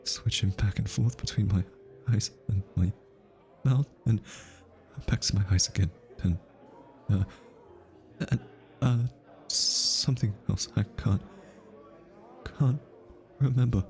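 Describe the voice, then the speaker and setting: Casting: male actor as a smitten, stammering assassin for ASMR audio drama; low register, unhurried delivery, nervous over-explainer. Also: a little mellow. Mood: nervous, analytical. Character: easily flustered, lovestruck male hitman, awkward and analytical. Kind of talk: intimate ASMR audio roleplay.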